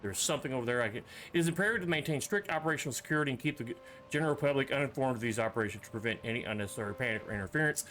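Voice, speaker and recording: A man's voice: mid-range voice, animated, very fast, a bit bright, slight background noise. A man giving casual commentary as he plays a horror game, reading screen text aloud with joking, offhand asides. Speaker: streamer playing a horror game.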